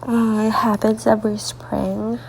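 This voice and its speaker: A woman artist talking through a coloring tutorial with a congested, stuffy voice, rambling softly and often dropping into half-whispered asides, sleepy late at night. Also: mid-range voice, flat delivery, a little slow, creaky, a little hiss or hum, poor audio. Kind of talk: casual coloring tutorial narration.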